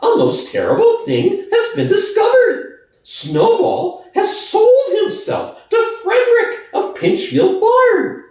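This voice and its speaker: A man's voice: very high voice, huge pitch swings, slow pace, darkish tone, voice pushed up, heavily acted. A man voicing a nervous, alarmist male pig propagandist, squealing out agitated speeches in an audiobook. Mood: alarmed, urgent. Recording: very echoey room, distant from the mic.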